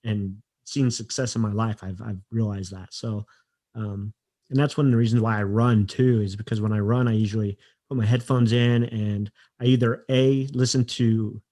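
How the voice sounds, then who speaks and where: A man hosting a podcast interview over a video call, speaking haltingly and repeating words as he thinks. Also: mid-range voice, darkish tone. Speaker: podcast host. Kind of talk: casual interview chat.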